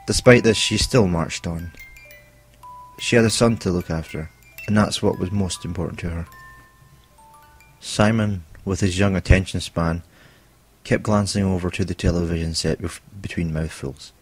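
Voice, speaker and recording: A man reading a homemade horror story aloud in a steady, slightly dramatic way. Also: deep voice, moderate pace, soft background music. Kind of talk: read-aloud horror narration.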